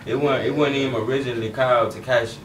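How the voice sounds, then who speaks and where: A man's voice: mid-range voice, steady tone, fast. A young man from Dallas, laid-back and slangy as an interview guest. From Dallas, Texas. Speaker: rapper guest. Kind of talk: casual interview chat. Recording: roomy, distant, noisy.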